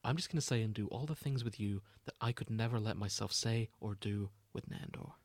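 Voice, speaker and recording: A man's voice: lowish voice, brisk, a little mellow, dry recording, close to an everyday voice. A man voicing an indignant, scheming young male familiar in a melodramatic fan fiction reading. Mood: secretive, determined.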